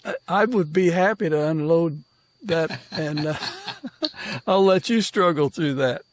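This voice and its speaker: An older man hosting a conversational interview podcast, speaking in a halting, gently courteous way. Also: on the high side, no echo. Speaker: podcast host.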